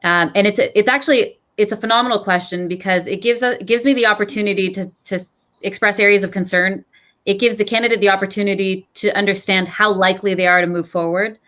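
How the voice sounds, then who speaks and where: A woman's voice: mid-range voice, fairly even intonation, fast, fairly bright tone. A woman recruiter on a video-call panel. She explains her view thoughtfully and often restarts her sentences. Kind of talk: panel discussion.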